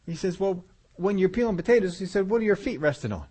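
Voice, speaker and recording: A man preaching through a long story in a relaxed, folksy way. He chuckles often, and his voice sinks low and soft to land the key lines. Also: quick pace, breathy edge. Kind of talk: storytelling sermon.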